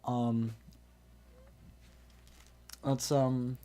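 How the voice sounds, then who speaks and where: A man's voice: mid-range voice, flat delivery, drawn-out words, mellow, no echo. A man with a stuffy, congested nose, casually commentating a game tournament, rambling with lots of ums and restarts.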